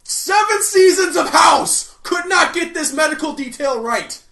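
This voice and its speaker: Sarcastic male movie reviewer, lively pitch. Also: a little slow. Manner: sarcastic, swings into loud outraged rants. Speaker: film reviewer. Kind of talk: comedic movie review narration. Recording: roomy echo, mic at a distance.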